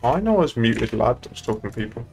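A man's voice: mid-range voice, very animated, fast, gravelly, a little mellow, slight background noise. A young British man chatting casually and laddishly on a gaming livestream. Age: young adult. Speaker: game streamer. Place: indoor room at a streaming desk.

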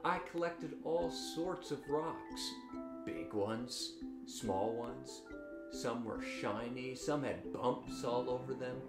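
In a roomy-sounding space with guitar music, a man in his thirties talks to children. He uses simple, emphatic phrasing at an unhurried pace, as the host of a story time. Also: medium pitch. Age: 30s. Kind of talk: animated children's programme introduction. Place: living room, seated on a couch. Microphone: camera a few feet away.

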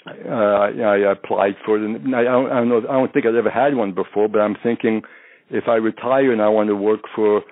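A man's voice: lowish voice, fairly even intonation, a little fast. A retired undercover agent in his fifties, a native French speaker, recounting cases in a rambling interview full of fillers. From born in France, raised in the United States. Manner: rambling, many fillers and restarts. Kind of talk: interview storytelling. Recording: tinny phone-line audio.

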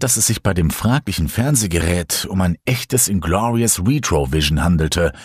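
Very deep-voiced male horror narrator. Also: slightly rough, no echo, studio quality. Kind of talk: scripted first-person horror narration.

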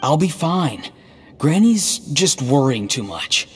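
Earnest young mystic in reverberant space. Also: male voice, a little high, sing-song, takes it slowly, noisy background. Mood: quietly determined. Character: calm, earnest, self-sacrificing young man, mystical grandson. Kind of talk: anime game voice acting. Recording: long reverb, music behind.

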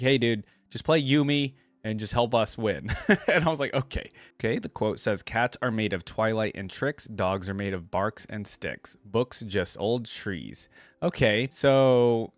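A man's voice: on the low side, no room sound. A young man hosting a reaction video, casually commenting and reading lore aloud in an easygoing, conversational way into a close desk microphone. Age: young adult. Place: indoor room at a desk.

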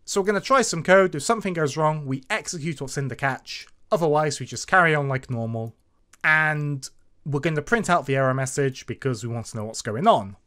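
Male presenter for an informal screencast lesson; highly animated intonation, emphatic sing-song explanations. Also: brisk. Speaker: tutorial host. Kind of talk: informal step-by-step screencast tutorial narration.

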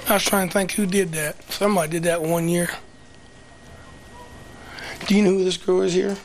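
A man with a hoarse voice giving chatty, rambling play-by-play at an outdoor track meet for local TV. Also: sits high, steady tone, normal speed, a little hiss or hum. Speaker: local TV commentator. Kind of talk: casual play-by-play commentary.

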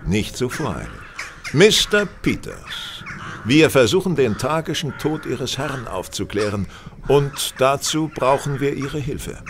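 An actor playing a brilliant, self-assured detective in a German audio drama, speaking precisely with authority and slight condescension. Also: male voice, mid-range voice, big pitch swings, a little slow, a bit bright, a little hiss or hum. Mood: commanding, assured.

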